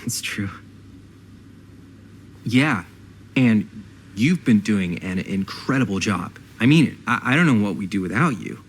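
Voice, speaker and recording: An actor playing a nervy, earnest young American brother in a video game drama, speaking casually and hesitantly, eager to please. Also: male voice, lowish voice, expressive, a little slow, pauses often, a little hiss or hum. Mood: sheepish pleading.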